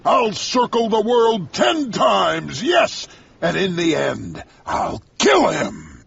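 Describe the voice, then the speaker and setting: A man voicing an old, obsessed sea captain in an audiobook, bellowing with a raspy, strained voice. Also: high-pitched, sing-song, slow pace, fairly bright tone, lo-fi sound, pitched above the performer's own voice, full character voice. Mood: raging. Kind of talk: audiobook character voice.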